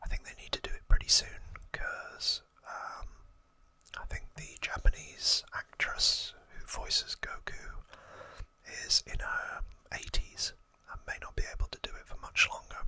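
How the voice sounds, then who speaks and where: An ASMR host speaks entirely in a breathy whisper, taking their time with every phrase. The sound is crisp and bright, and the delivery is hushed and unscripted, like an informal recap of trivia. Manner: slow, hushed, unscripted explaining.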